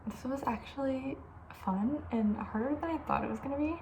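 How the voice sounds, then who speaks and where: A woman speaking softly with breathy, near-whispered edges, chatting casually and rambling with playful opinions as an ASMR host. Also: high voice, normal speed, slight background noise, low-quality recording. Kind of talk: soft-spoken ASMR casual chat.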